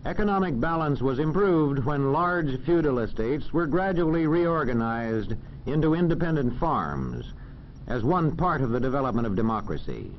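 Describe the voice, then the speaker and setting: Formal American male narrator for a 1940s classroom film, animated delivery, dull old soundtrack. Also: medium pitch, a little hiss or hum. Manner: formal, measured, didactic.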